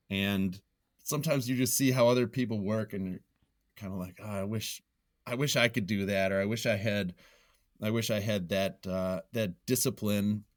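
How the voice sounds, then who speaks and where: A man giving casual, reflective interview answers, thinking aloud in a halting way and circling back to repeat his phrases. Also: lowish voice, dry recording, clean studio sound. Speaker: podcast guest, nonfiction writer.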